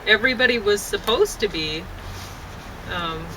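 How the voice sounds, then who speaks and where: A woman's voice: mid-range voice, lively intonation, normal speed. A woman chatting casually outdoors, in a hesitant, rambling way that often repeats itself. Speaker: poet and vlogger. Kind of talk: casual chat. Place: outdoors on a deck near downtown. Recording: clear outdoor urban and traffic noise.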